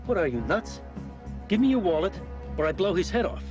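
Actor as menacing mugger, loud music behind. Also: male voice, on the high side, lively intonation, quick pace, close to an everyday voice. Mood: angry, threatening. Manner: threatening, mocking. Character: menacing impatient male street mugger. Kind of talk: television drama acting.

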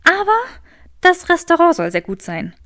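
Prim young heroine for storybook female reader acting, lifted pitch, impatient, quick to protest. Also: big pitch swings, fairly bright tone, full character voice. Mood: awkward, matter-of-fact. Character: prim, spirited young woman, defensive and impatient.

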